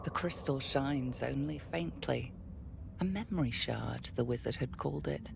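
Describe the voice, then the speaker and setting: A woman performing as a grave storyteller, narrating a dark fantasy game in a measured, hushed, dramatic way. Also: rumbling low, vocal fry, noisy background, exaggerated voice. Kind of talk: scripted second-person game narration.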